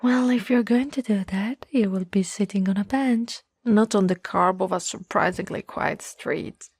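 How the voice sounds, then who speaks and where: A woman playing a tall, teasing, caring young childhood friend in an ASMR roleplay, with a soft, breathy, slightly husky voice and a gently coaxing manner. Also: medium pitch, no echo, clean studio sound. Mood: coaxing, concerned. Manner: slow, playful, gently coaxing.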